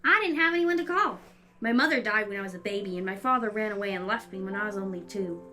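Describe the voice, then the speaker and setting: A woman's voice: mid-range voice, quick pace, close to an everyday voice. A woman playing a plucky, breezy young circus girl who chatters resourcefully in a family audio drama. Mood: frank, curious. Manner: chirpy, breezy, self-talking. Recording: faint music under the voice.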